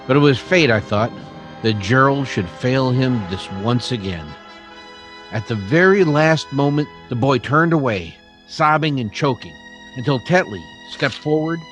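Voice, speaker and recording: A man playing a troubled, reflective townsman who narrates a western radio drama in a grave, deliberate way. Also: mid-range voice, animated, a bit bright, soft background music. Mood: grave, haunted.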